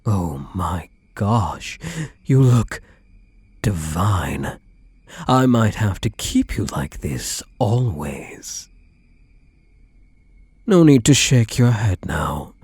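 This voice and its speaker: A low, dark voice with a slightly husky grain belongs to a man acting a possessive yandere kidnapper on his wedding day. He doles out smug, mock-sweet endearments and quiet threats, swinging expressively in pitch. It is ASMR roleplay drama with a menacing, doting edge. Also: big pitch swings, no room sound, heavily acted. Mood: gloating, possessive. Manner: slow, taunting, mock-tender endearments.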